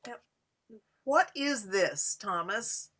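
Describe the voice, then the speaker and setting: A woman playing a doting, doubtful and pious elderly wife, gently fussing in a play reading. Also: mid-range voice, lively intonation, drawn-out words, exaggerated voice. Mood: doubtful, fond. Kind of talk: acted dialogue in a play reading.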